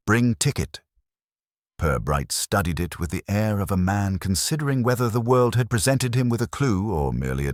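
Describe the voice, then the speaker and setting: Very deep-voiced male mystery narrator. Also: dry recording, studio quality. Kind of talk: scripted mystery story narration.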